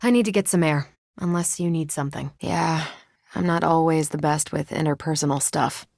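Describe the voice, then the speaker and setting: A confident female superhero for a video game. Her voice sits a little low, with a breezy, conversational delivery. She is friendly and teasing with a dry wit, in a very dry studio sound. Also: moderate pace, gravelly, a little mellow, no echo. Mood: relaxed, wry. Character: confident, wry, friendly half-alien superhero woman soldier.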